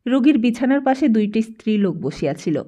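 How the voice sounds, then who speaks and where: A woman's voice: fairly high pitch, sing-song, brisk, dark tone. A woman reading a literary story as scripted audiobook narration.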